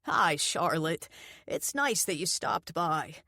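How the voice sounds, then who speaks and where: Woman doing an easygoing older husband, slow drawl. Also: deep voice, sing-song, pushed and bright, no room sound, studio quality, full character voice. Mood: affectionate.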